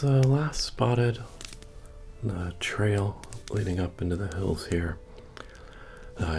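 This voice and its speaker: A man playing a friendly, humble medieval fantasy innkeeper in a soft-spoken, deep-voiced, understated ASMR roleplay. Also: gravelly, exaggerated voice. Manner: hesitant, rambling, understated delivery. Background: bard music.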